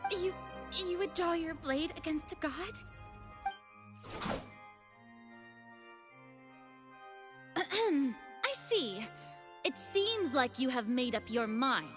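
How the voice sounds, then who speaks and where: High-voiced, theatrical young goddess. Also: female voice, expressive, in a large hall, over music. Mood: imperious. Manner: theatrical, haughty, dramatic pauses. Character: proud theatrical young female god of justice, secretly burdened. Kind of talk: video game voice acting.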